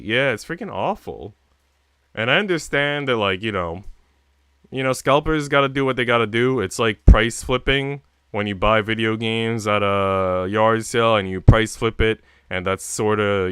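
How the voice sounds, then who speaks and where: A man's voice: on the low side, normal speed, bright, forceful tone, smooth, no echo, studio quality. A man livestreaming, chatting casually with viewers in a rambling, slangy way with casual swearing. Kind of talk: casual chat with stream viewers.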